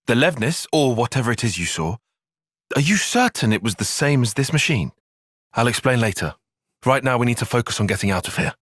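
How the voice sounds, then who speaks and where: A man's voice: mid-range voice, a bit bright, no room sound, clean studio sound. A man playing an earnest, analytical young soldier in a fantasy video game, speaking with precise, formal phrasing. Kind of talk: video game cutscene acting.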